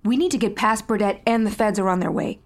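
A woman's voice: mid-range voice, quick pace, a little hiss or hum, close to an everyday voice. Getaway planner for audio fiction female actor: medium register, biting sarcasm, clipped and bossy. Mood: urgent, decisive. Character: sharp-tongued, sarcastic, scheming female fugitive. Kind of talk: serialized audio drama acting.

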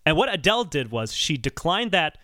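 A man hosting a podcast in casual, comedic discussion with animated, exasperated emphasis. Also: a little high, a little fast, dry recording, studio quality. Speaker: podcast host.

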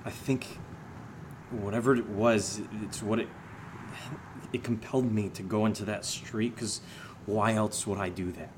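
An actor playing a sardonic, shaken man who jokes through fear, speaking haltingly in a naturalistic horror audio drama. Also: on the low side, steady tone, background noise, lightly acted. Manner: hesitant, halting, sarcastic.

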